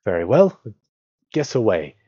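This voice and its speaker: A man's voice: on the low side, very animated, measured pace, warm tone, husky, no echo, lo-fi sound, pitched above the performer's own voice, full character voice. A man voicing a small hobbit from a storybook, nervous but putting on boldness, in a raised, clipped, insistent voice. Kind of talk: storybook character voice.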